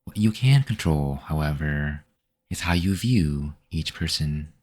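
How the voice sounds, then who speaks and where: A man guiding a meditation with calm, measured, instructive scripted narration. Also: extremely low pitch. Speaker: meditation guide.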